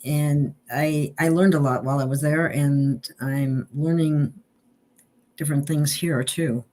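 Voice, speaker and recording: A woman hosting a casual conversation, speaking haltingly with frequent pauses and restarts. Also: low voice, steady tone, mellow. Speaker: host. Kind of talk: casual chat.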